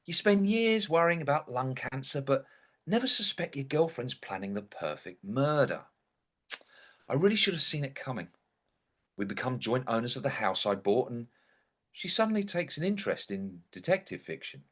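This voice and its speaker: A man's voice: medium pitch, sing-song, normal speed, fairly bright tone. An actor playing an indignant, sardonic dead young man who narrates a comic stage monologue in a wry, theatrical way. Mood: wry musing.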